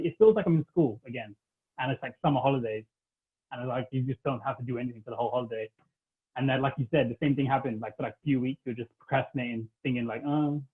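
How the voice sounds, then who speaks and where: A man's voice: mid-range voice, slightly rough. Man in his thirties on a tinny line. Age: 30s. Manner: rambling, heavy use of "like". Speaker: podcast guest. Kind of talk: casual chat.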